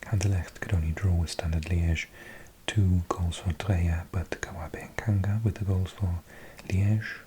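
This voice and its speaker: A Welsh man in his forties, whispering softly as an ASMR host, slowly reading out a list of results with chatty asides. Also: sits low, fairly even intonation, slight background noise. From Wales.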